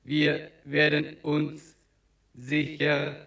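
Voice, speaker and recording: An actor playing a gentle, wounded alien visitor in a children's audio drama, halting and monotone, with a creaky voice. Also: male voice, a little high, no room sound, full character voice. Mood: solemn farewell. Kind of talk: 1980s German children's audio drama acting.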